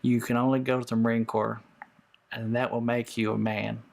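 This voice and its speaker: Frank gravelly-voiced man. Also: medium pitch, level tone, moderate pace, darkish tone. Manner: frank, rambling. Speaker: interviewee, former Marine. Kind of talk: personal interview.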